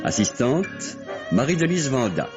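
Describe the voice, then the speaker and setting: A man's voice: medium pitch, sing-song. A man formally announcing the title and credits of a vintage radio drama. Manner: formal, measured presentation. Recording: dull old radio recording. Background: prominent music.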